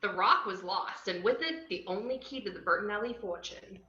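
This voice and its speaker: A woman's voice: mid-range voice, normal speed, bright, forceful tone, lightly acted. A woman playing a wry, cheeky antiheroine narrating a script at an amateur table read. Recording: roomy, slightly dull video-call audio.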